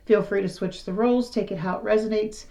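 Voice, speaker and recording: A middle-aged woman with a raspy, slightly hoarse voice gives a casual tarot reading to the camera. She talks in a frank, rambling, conversational way. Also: mid-range voice, fairly even intonation, fast talker, dark tone. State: slightly hoarse after illness. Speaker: tarot reader.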